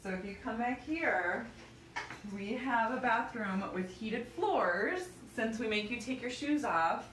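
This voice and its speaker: A woman's voice: fairly high pitch, expressive, unhurried, fairly bright tone, distant mic. Upbeat woman, thirties, live walking tour filmed on a phone, echoey rooms, heard from a few feet off. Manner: chatty, bubbly, walking while talking. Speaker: physical therapy clinic owner giving a tour. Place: small clinic indoors, hallways and treatment rooms. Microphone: phone camera held by another person. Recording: roomy phone audio from a few feet off, faint hum.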